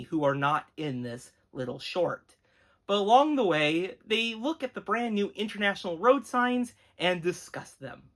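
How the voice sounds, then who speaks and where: The voice belongs to a show host with a medium pitch. The delivery is earnest and emphatic, in the conversational style of an enthusiastic retro cartoon review. It comes across as appreciative commentary aimed straight at viewers. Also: fairly bright tone.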